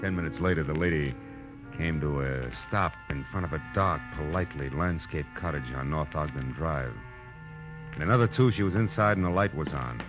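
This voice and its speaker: A man's voice: a bass voice, moderate pace, over music. An actor playing a wry, world-weary private detective who narrates his own case in 1940s radio drama style. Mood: wry narration. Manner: wry, sardonic. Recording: dull old-time radio recording.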